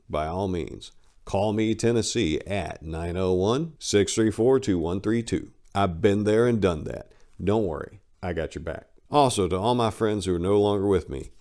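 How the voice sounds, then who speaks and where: A man reading a book aloud in a dramatic style, with deliberate, declamatory delivery. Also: fairly low pitch, a little mellow. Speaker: audiobook reader. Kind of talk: dramatic reading of a book's acknowledgments.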